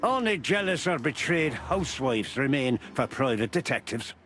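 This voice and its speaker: A man's voice: medium pitch, sing-song, normal speed, a bit bright, a little hiss or hum. A man playing a jovial old beaver who sells newspapers in a noir adventure game, folksy and avuncular with a chuckling manner. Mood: knowing.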